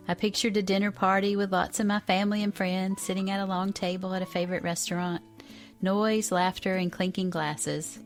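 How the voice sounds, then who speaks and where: A woman in her fifties reads a personal essay in a fairly low voice. Faint music plays behind her narration. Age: 50s.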